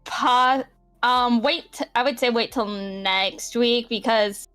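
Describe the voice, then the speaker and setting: A woman's voice: a little high, slow pace, a bit bright, smooth. A woman chatting casually on a podcast as a co-host, with a giggly way of talking. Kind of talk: casual chat. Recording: background music under voices. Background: background music.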